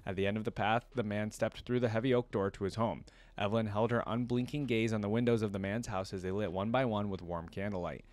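A man's voice: lowish voice, fairly even intonation, fast, hardly any pauses, darkish tone, no echo. A young adult man reading a story aloud and adding casual commentary as a reaction video host. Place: indoor room at a computer desk.

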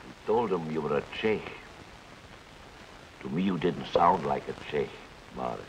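A prying, suspicious refugee with a very deep voice, asking probing, insinuating questions. Faint rain hiss runs behind him in the old film sound. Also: male voice, expressive, moderate pace, low-quality recording. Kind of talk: 1950s TV drama acting.

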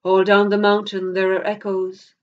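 A middle-aged woman with an Irish accent, a poet reading verse aloud in a measured, deliberate way. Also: mid-range voice, completely flat delivery. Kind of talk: poetry reading. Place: plain indoor room against a bare wall. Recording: dull lo-fi camera sound.

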